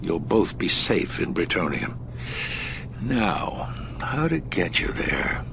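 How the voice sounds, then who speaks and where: A calm older station owner. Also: male voice, sits low, slow, creaky, full character voice. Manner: calm, reassuring, grandfatherly. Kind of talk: video game cutscene acting. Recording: noisy ambient background.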